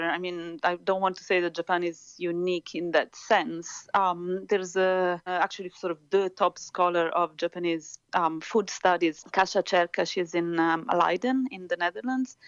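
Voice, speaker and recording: A woman whose first language is Italian, answering interview questions thoughtfully as an academic guest, with frequent pauses and fillers. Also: fairly low pitch, clear, resonant tone, no room sound, lo-fi sound.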